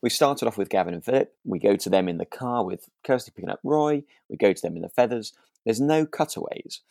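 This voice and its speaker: Male co-host, low voice, casual animated storyteller, close dry recording. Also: a little fast, vocal fry, no room sound. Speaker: podcast co-host. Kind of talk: casual chat about a radio drama.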